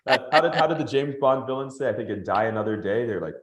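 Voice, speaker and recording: A man from Nigeria and the Bahamas, a podcast guest telling his story in an animated way, laughing as he goes. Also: mid-range voice, fast, reverberant. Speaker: guest, company founder. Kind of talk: podcast interview.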